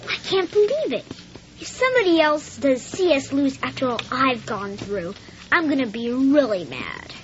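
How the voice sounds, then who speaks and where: A woman's voice: sits high, very animated, normal speed, a little hiss or hum. A woman voicing a shy, earnest schoolboy who stammers and hesitates, in a radio drama. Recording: slightly dull radio drama recording.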